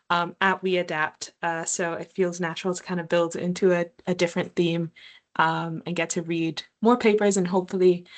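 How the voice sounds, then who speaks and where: Young bahamian-born phd student, casual intro, lots of ums, lowish voice. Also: female voice. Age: young adult. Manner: casual, filler-heavy. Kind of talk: self-introduction on a webinar.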